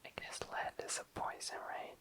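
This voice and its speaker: A young adult ASMR host whispering casual, tentative commentary, often self-correcting in an unhurried way. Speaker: host of an ASMR makeup video. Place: indoors, tabletop close-up video.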